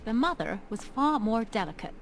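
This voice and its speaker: An actress playing a warm, sensible older elf woman in a fantasy video game. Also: a little high, very animated, normal speed, vocal fry, a little hiss or hum. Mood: bickering, amused.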